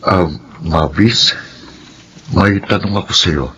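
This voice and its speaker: A man's voice: sits low, slow, fairly bright tone, a little hiss or hum. An actor playing a remorseful, earnestly pleading lover and father in a Filipino radio drama. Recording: dull radio recording, music bed.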